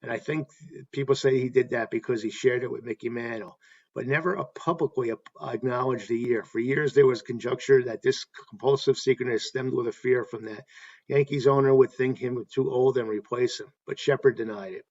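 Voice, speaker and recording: A man's voice: medium pitch, steady tone, fairly quick, a little mellow. Man giving a tribute talk, slightly dull audio. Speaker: host paying tribute to a stadium announcer. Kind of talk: semi-scripted tribute talk, reading anecdotes.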